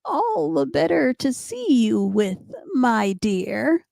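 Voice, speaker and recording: A sly, hungry wolf from a children's storybook, played by a woman reader, pretending to be a sweet old grandmother. The voice is lifted and coaxing with menace underneath, unhurried and close in a dry recording. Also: sits high, big pitch swings, vocal fry, darkish tone, no room sound, voice pushed up, full character voice. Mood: sly, menacing. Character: sly big bad wolf disguised as grandmother.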